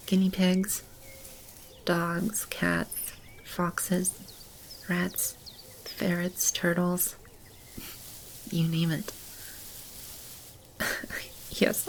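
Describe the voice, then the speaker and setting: A woman playing a gentle, nurturing keeper of a magical animal shelter in an ASMR roleplay, speaking softly and soothingly to a child. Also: fairly low pitch, creaky, a little hiss or hum.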